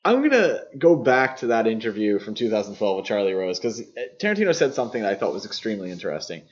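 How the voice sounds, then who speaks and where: A man's voice: lowish voice, very animated, quick pace, lo-fi sound. A man co-hosting a podcast, talking through films in a casual, analytical, explanatory way. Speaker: podcast co-host. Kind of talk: casual film discussion.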